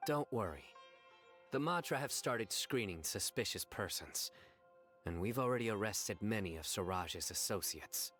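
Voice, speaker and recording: Terse law enforcer, deep voice, faint game music. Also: male voice, moderate pace. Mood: stern. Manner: terse, steady. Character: stern young male law enforcer, terse.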